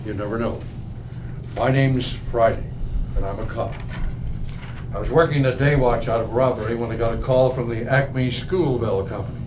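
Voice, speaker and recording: A man playing a deadpan, clipped police detective in an old-time radio parody. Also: mid-range voice, moderate pace, pauses often, natural voice. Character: deadpan, dogged, terse male police detective. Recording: distant mic, noisy stage recording.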